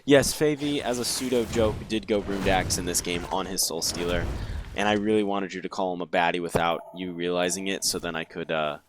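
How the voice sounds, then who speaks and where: A man's voice: low voice, steady tone, brisk, background noise. A man giving live play-by-play commentary on a video game match, joking and teasing his co-caster. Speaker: esports caster.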